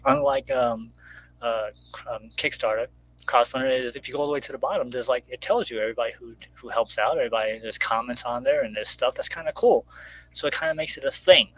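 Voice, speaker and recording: A man's voice: mid-range voice, fast talker, fairly bright tone, dry recording, poor audio. Man, mid-range voice, casual conversational delivery, informal, rambling, guest creator. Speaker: guest, comic book creator promoting crowdfunding. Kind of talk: casual chat.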